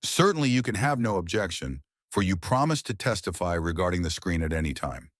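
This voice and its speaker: A man doing a sharp, sarcastic detective. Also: sits low, expressive, fairly quick, dry recording, clean studio sound. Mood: calm, triumphant. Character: shrewd, confident male detective in middle age. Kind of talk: audiobook character voice.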